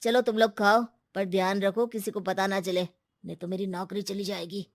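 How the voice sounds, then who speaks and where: A woman's voice: mid-range voice, flat delivery, normal speed, dry recording, studio quality, close to an everyday voice. Woman playing modest waiter, kindly, wry, cartoon moral tale. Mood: gentle, secretive. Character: kind-hearted, humble restaurant waiter, wry about the rich. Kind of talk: cartoon moral-story voice acting.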